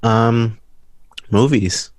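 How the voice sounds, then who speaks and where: A young man from Guatemala chatting casually as an interview guest, searching for his words in a halting, informal way. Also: mid-range voice, fairly even intonation, no echo.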